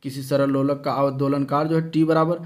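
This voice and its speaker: A man teaching an exam lesson, reading study notes aloud haltingly with brief asides and stumbling over technical terms. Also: mid-range voice, flat delivery.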